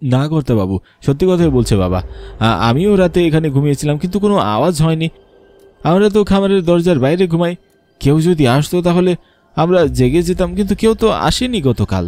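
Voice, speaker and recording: An actor voicing humble, loyal village farmhands in a horror cartoon, deferential and pleading in manner. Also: male voice, a little high, lively intonation, brisk, darkish tone, natural voice. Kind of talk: dramatised horror cartoon dialogue. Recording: music bed under the voice.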